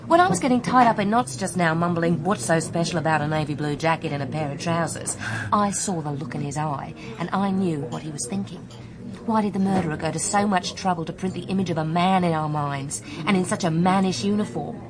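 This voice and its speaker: Actress as keen woman in a radio mystery: fairly low voice, confiding, insistent, people murmuring behind. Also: fairly quick, a crowd in the background, natural voice. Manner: insistent, speculating. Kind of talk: British radio drama acting.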